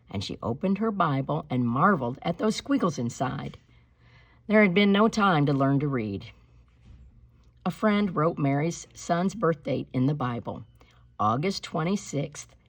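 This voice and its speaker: A woman with a low, husky voice reads a storybook aloud in the manner of a teacher. Her phrasing is deliberate, expressive and instructive. The sound is close and dry, with very little room around it. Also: dry recording. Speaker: teacher reading a picture book aloud to pupils.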